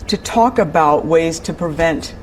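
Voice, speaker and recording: A man's voice: fairly high pitch, a little slow, slight background noise. A man hosting a broadcast interview as the interviewer.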